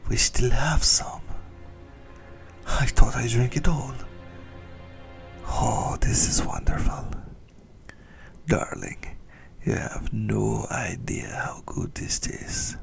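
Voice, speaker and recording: A man voicing an obsessive, doting vampire in an intimate ASMR roleplay, with a breathy whisper and theatrical, adoring relish. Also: mid-range voice, big pitch swings, slow pace, pauses often, soft background music, heavily acted. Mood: rapturous, savoring. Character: obsessive lovesick male yandere vampire, doting, gleefully indulgent.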